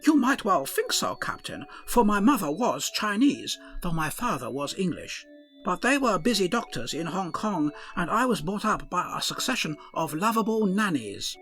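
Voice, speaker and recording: A man's voice: high voice, big pitch swings, moderate pace, fairly bright tone, faint music behind, full character voice. A man voicing a fussy, earnest male ship's doctor who speaks formally in an odd, blended put-on accent, for a comic audio drama.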